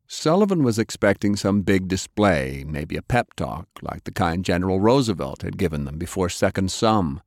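A man's voice: low voice, big pitch swings, normal speed, few pauses, dry recording, studio quality. A man reading adventure fiction as a dramatic audiobook narrator.

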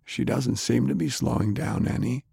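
A man voicing a nervous, parched young man with a rasping voice in a storybook reading. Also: mid-range voice, fairly even intonation, normal speed, dark tone, dry recording, studio quality, voice pushed up. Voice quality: raspy.

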